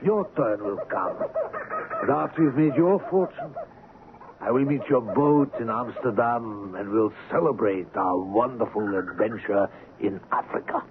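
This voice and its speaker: A man's voice: mid-range voice, animated, unhurried. A man playing an eager, greedy Dutch ivory trader in a radio drama, formal and grandiose. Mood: elated. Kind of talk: old-time radio drama acting. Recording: muffled old radio broadcast, noisy background.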